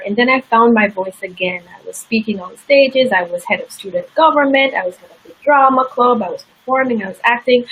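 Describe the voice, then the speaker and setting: A woman with a slightly husky, breathy voice talks through her life story as an interview guest. Her telling is candid and earnest, and her pitch sits in an ordinary middle range. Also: fairly bright tone, low-quality recording. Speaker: interview guest, success coach.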